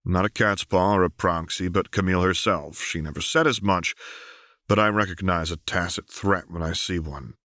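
A man's voice: low-pitched, normal speed, pushed and bright, no echo, studio quality. A man playing a weary, anguished man of about forty, earnest and defensive, in an audiobook story. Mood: guarded, weary.

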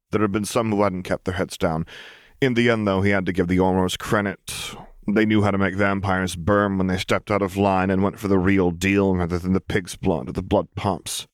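Low-voiced man reading a story. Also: no echo, clean studio sound. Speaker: audiobook reader. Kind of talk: scripted story narration.

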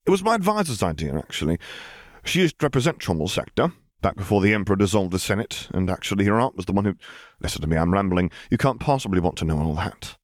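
A man's voice: low voice, very animated, fast talker, no room sound, clean studio sound. A man voicing an earnest, sheepish young male student who rambles chattily and self-deprecatingly, in an audiobook story. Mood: eager, rambling.

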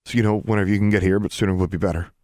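A man's voice: low voice, steady tone, racing, darkish tone, dry recording, clean studio sound, natural voice. A man voicing a desperate young girl who pleads insistently and defiantly in an audiobook story. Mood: urgent.

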